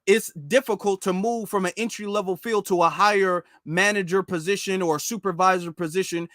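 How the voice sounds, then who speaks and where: A man's voice: high-pitched, steady tone, normal speed, a bit bright, no room sound, clean studio sound. A man from the United States giving informal, emphatic political commentary on a live stream, repeating phrases for stress.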